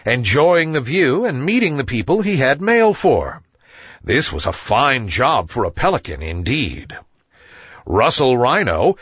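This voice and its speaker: A man narrating a scripted children's story as an expressive storyteller. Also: on the high side, husky, no echo, studio quality.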